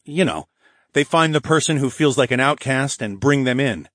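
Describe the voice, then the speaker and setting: A man's voice: mid-range voice, expressive, normal speed, fairly bright tone, dry recording, clean studio sound, pitched above the performer's own voice, close to an everyday voice. A man voicing a cocky, tough dragon hero who taunts a prisoner in an audiobook. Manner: taunting, sneering.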